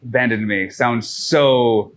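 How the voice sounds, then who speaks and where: A man's voice: mid-range voice, slow pace, slight background noise. Guy from north shore mass rambling thru a story on a podcast, swears a lot, lots of uhs and restarts. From Massachusetts, North Shore. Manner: profane, rambling, loose with fillers and restarts. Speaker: podcast guest. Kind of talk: casual storytelling conversation.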